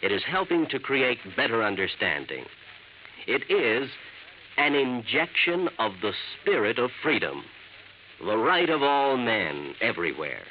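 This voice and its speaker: A male announcer reading an earnest, measured public service message for an old radio show. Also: mid-range voice, very animated. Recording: tinny, hissy old radio broadcast.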